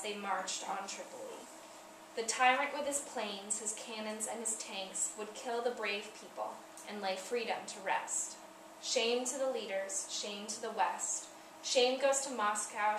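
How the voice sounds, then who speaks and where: A woman declaims verse in a mid-pitched voice with earnest delivery. The sound is roomy and echoing, with clear noise behind her. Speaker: poetry reader. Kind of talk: poetry recitation. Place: echoey indoor event room, far from mic. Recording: distant mic, echoey room, loud background noise.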